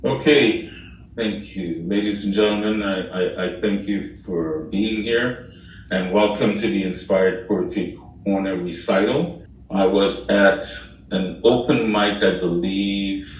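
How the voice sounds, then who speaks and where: A man's voice: fairly low pitch, slow pace, slight background noise. A 60-year-old man, hosting and making introductions over a very echoey, distant video call. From Trinidad and Tobago. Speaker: host of poetry recital.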